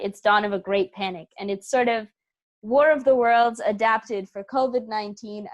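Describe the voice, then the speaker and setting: A woman chatting as an interview guest, thinking aloud and searching for her words. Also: a little high. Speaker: artistic director, interview guest. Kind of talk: casual interview chat.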